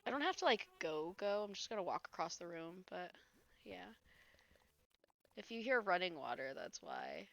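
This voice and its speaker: A young woman with a hoarse voice, chatting casually with her live audience while she plays a game, often laughing and reading comments aloud. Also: on the low side, sing-song, normal speed, a bit bright, highs cut off. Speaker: live streamer. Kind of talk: casual chat while gaming.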